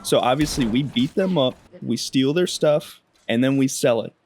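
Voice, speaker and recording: Young male gaming creator, mid-pitched, wry and slangy storytelling, slight game noise behind. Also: normal speed, a little mellow. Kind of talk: casual comedic gameplay narration.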